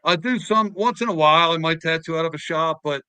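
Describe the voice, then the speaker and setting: A man's voice: a little high, brisk, pushed and bright, clear, resonant tone, dry recording. A man in his fifties hosting a podcast, chatting and telling long stories with repeated words. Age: 50s. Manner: talkative storyteller, repeats words. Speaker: podcast host. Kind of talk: casual podcast chat.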